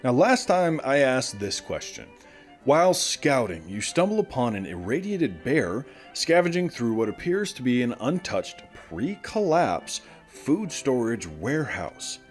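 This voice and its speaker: Animated man playing a wasteland radio host. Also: medium pitch, measured pace, soft background music. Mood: upbeat, chatty. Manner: conversational, addresses listeners directly. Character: chatty small-town radio host in a post-apocalyptic wasteland. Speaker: morning radio show host. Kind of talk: scripted radio show monologue, folksy.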